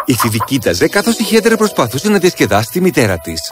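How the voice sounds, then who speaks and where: Animated man telling a fairy tale. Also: on the high side, music in the background. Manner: expressive storytelling. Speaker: narrator. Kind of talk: scripted children's fairy tale narration.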